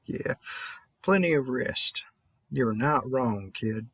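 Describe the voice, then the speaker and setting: A man's voice: mid-range voice, expressive, very slow, a bit bright, full character voice. A man playing a tired veteran vampire hunter from the American South, mumbling and rambling folksy encouragement in an intimate audio roleplay. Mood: tired, advising.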